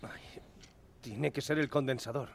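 An actor playing a keen, stubborn young telegraph operator of the 1910s in a radio drama. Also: male voice, medium pitch, steady tone, a little slow, creaky, a little hiss or hum. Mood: determined. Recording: music behind the voice.

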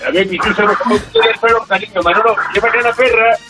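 A man's voice: sits high, fairly even intonation, fast talker, bright, forceful tone, soft background music. A man playing a chatty, anxious, gluttonous housewife from Spain, wheedling and folksy as a caller in a radio comedy sketch. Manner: wheedling, folksy, calls people dear. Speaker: listener phoning a radio show.

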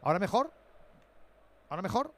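Casting: brisk male sports radio host, slightly high voice, Spain accent, teasing banter, faint noise behind. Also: big pitch swings. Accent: Spanish (Spain). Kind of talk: casual live radio hosting.

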